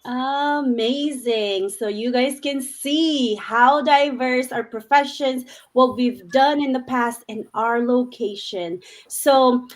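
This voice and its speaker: A woman's voice: high voice, slow pace, hardly any pauses, slight background noise. A woman hosting a live online panel over a video call, upbeat and earnest, with fillers as she talks.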